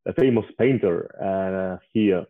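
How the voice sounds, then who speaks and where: A man in his forties from Spain, an English speaker who pauses often with "uh" as an interview guest. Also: low voice. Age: 40s. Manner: hesitant, frequent "uh" fillers. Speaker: guest, investor. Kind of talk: casual interview. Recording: tinny phone-like line.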